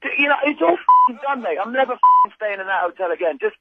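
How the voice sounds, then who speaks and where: A man with a British accent in an informal phone conversation, blunt, slangy and exasperated, with a husky voice. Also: very high-pitched, wildly swooping pitch, fast. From Britain. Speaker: prank call victim, phone caller. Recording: muffled lo-fi telephone line.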